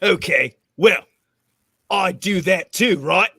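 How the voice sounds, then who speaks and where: A man acting a brash, combative space adventurer with a raspy voice in a comedic script read. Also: high-pitched, animated, slow pace, sharp tone, no room sound, clean studio sound, full character voice. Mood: smug.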